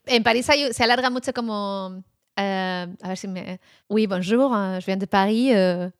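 Lively woman in casual chat. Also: mid-range voice, a little slow, dry recording, studio quality. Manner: animated, laughs easily, mimics accents. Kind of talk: casual conversation.

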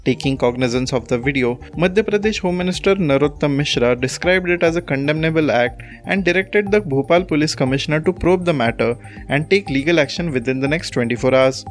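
A man's voice: on the high side, expressive, brisk, faint music behind. A man with an Indian accent presenting a scripted news bulletin.

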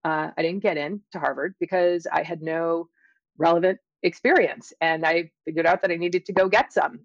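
A middle-aged woman speaking as an interview guest, explaining and telling her experiences in a thoughtful, halting way with drawn-out emphasis. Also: lowish voice, fairly even intonation, vocal fry, dry recording, highs cut off. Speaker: interview guest, scientist and author. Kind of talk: conversational interview, personal storytelling and explanation.

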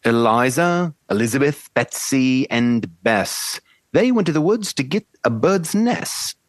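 A woman's voice: rumbling low, animated, a little slow, dry recording. A woman playing an arrogant, imperious male phonetics professor in an amateur play reading. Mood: commanding. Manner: imperious, brusque, theatrical.

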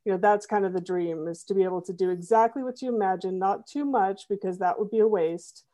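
A middle-aged woman talking through her web development work in a relaxed interview, with plenty of restarts and fillers. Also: mid-range voice, fairly quick. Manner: thoughtful, many fillers and restarts. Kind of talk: conversational interview.

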